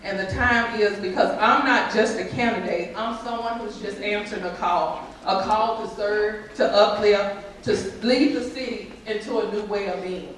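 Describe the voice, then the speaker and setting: A woman gives a lively, folksy campaign speech. Her voice is mid-pitched and is heard from a distance. A big echoing hall with faint noise surrounds her. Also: moderate pace. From Texas. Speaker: mayoral candidate. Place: civic center event room. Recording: echoey hall, far mic, faint noise.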